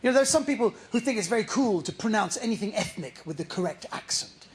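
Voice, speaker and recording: A man's voice: sits high, expressive, fast. A man performing live stand-up comedy in a mocking, anecdotal style. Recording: noisy live stage recording.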